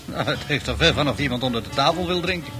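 An actor playing an easygoing, bantering bartender in a Dutch radio drama, with familiar, unhurried talk. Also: male voice, medium pitch, expressive, lightly acted. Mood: teasing. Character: easygoing male bartender, bantering but cautioning. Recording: music playing behind.

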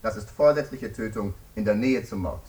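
An actor playing a stern, formal, unyielding courtroom lawyer demanding a harsh sentence in a radio drama. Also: male voice, fairly low pitch, normal speed, a little mellow, distant mic, natural voice.

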